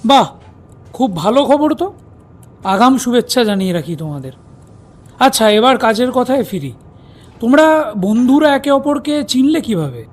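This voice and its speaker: An actor playing an older retired detective in an audio drama, polite and inquisitive. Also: male voice, extremely high pitch, sing-song, normal speed, long pauses, full character voice. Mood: genial. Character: courteous, probing older retired detective, a father.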